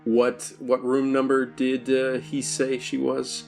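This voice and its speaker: A man's voice: mid-range voice, monotone, takes it slowly, creaky, darkish tone, faint music behind. A man playing a tabletop roleplaying game, talking casually as he describes his character's actions. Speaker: player in an audio roleplaying show.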